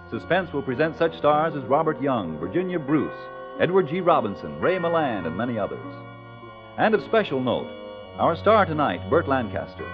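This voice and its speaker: An American man, a radio announcer, delivering polished scripted sales copy and credits in 1940s style. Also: medium pitch. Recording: muffled 1940s radio, music behind.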